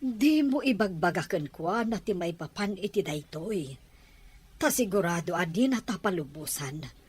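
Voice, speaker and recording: A resolute, secretive lady of the house in a radio drama. She has an Ilocano accent and a lowish, husky voice. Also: lively intonation, moderate pace, a little mellow. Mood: urgent, resolute. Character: determined, secretive lady of a mansion.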